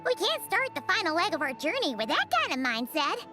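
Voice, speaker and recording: A childlike fairy sidekick from a video game, squeaky and extremely high. The delivery is perky and animated, eager but easily flustered. Loud music plays behind the voice. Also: female voice, brisk, exaggerated voice. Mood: rallying. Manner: perky, speaks of herself in third person. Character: tiny childlike floating fairy girl companion, eager, fretful. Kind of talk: video game character acting.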